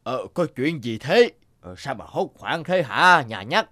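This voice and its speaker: An actor playing an elderly, stern and wise mouse elder in an animated cartoon, grave, measured and admonishing. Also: mid-range voice, dry recording. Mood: urgent. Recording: music behind the voice.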